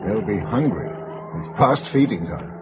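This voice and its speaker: An actor playing a practical, protective Englishman in a radio drama, brisk, understated and matter-of-fact, with an English accent. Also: fairly low pitch, very animated, music in the background. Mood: grimly matter-of-fact. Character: practical, level-headed Englishman, protective and grimly calm. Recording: dull lo-fi radio recording, faint noise.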